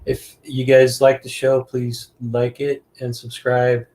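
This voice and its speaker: A man's voice: mid-range voice, completely flat delivery, slow pace, a little mellow. Easygoing male podcast host. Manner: easygoing, says "man" often. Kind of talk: casual interview chat.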